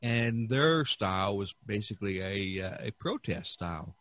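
A man hosting an informal art discussion, speaking haltingly and often repeating his words. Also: on the low side, lively intonation, a bit bright, no echo. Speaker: podcast host.

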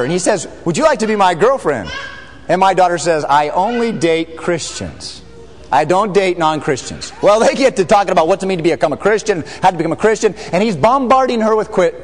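A middle-aged American man, a missionary, telling stories to a church congregation in an animated, joking way. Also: sits high, moderate pace. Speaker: missionary speaker. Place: large church sanctuary. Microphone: far camera. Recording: reverberant sanctuary.

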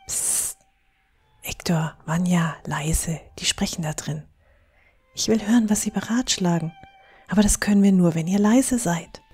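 A woman's voice: lowish voice, measured pace, dark tone, husky, soft background music. A woman playing a cautious, firm young adventurer in a fantasy audio drama, speaking in whispers. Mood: tense whisper. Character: cautious young female adventurer hushing her companions.

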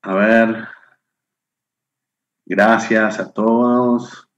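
A middle-aged Argentine man speaking into a webcam from a room at home, hosting a live online session. His voice sits fairly low, and he takes his time, chatting warmly as he greets viewers one by one by name. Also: fairly even intonation, very slow, dull audio. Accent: Argentine Spanish. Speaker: webinar host. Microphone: webcam on video call.

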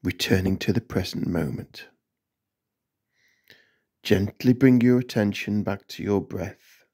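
Very slow-paced man guiding a meditation. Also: lowish voice, mellow. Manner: measured, instructional, with short separate phrases. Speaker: meditation guide. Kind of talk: scripted guided meditation narration.

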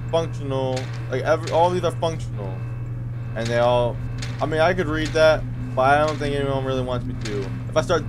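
High-voiced young man reacting to a game. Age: 20s. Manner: sweary, reactive, talks to himself and the game. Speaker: gaming video creator. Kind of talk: casual horror game playthrough commentary. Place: bedroom.

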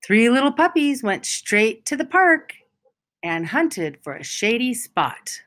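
A woman reading a children's picture book aloud as a storyteller, with deliberate, expressive phrasing and emphasized words. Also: on the high side.

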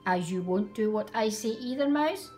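A woman's voice: mid-range voice, animated, normal speed, darkish tone, soft background music, lightly acted. A woman voicing a hot-tempered little female sparrow in a storybook, squawking her demands indignantly. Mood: bossy.

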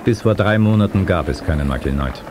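A man's voice: deep voice, expressive, brisk. An actor playing a cynical, matter-of-fact henchman in an audio drama. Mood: cool, calculating. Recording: loud noise behind the voice.

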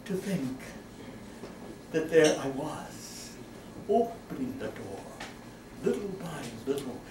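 A cunning, gleeful madman confessing to murder on a gothic stage. He draws his words out very slowly, with theatrical pauses and outbursts. It is picked up from a distance in an echoing, noisy room. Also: a little high, sing-song. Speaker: stage actor. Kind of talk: live dramatic reading of a gothic horror monologue. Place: small brick-walled cafe with seated audience. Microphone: distant camera microphone. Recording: roomy, noisy recording from across the room.

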